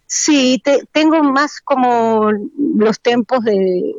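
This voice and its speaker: A woman's voice: a little high, slow pace, no room sound, lo-fi sound. A middle-aged woman with a Buenos Aires accent, speaking as an interview guest, hesitant and full of fillers and restarts. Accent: Buenos Aires Spanish. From Argentina. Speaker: actress and teacher, interview guest. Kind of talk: relaxed conversational interview.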